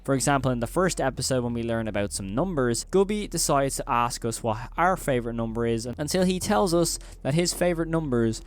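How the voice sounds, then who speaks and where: A man's voice: mid-range voice, lively intonation, brisk, few pauses, clear, resonant tone. A man hosting a video in a commentary voice-over, talking in an emphatic, wordy way with repeated phrasing. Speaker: video host.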